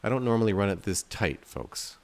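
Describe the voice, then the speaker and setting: A man's voice: fairly low pitch, lively intonation, a little fast. A man giving casual gameplay commentary as a video host, rambling and thinking aloud in a self-deprecating way. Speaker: game developer and video host playing his game.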